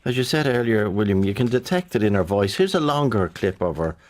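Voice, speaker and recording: A man presenting a radio interview, prompting his guest with gentle questions and fillers. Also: lowish voice, expressive, a little fast. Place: radio studio.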